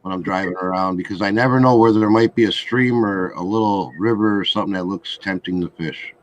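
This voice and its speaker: A man with a Wisconsin accent telling casual anecdotes in a relaxed group chat. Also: lowish voice, fast talker, no room sound. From Wisconsin, United States. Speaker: co-host of a live chat show. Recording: muffled lo-fi, faint background noise.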